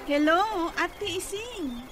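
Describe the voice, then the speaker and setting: A woman's voice: very high voice, animated, slow, music in the background, heavily acted. A young woman playing a cheerful, polite city niece visiting her aunt in an Ilocano radio comedy drama. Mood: polite, upbeat. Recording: noisy radio drama with ambience.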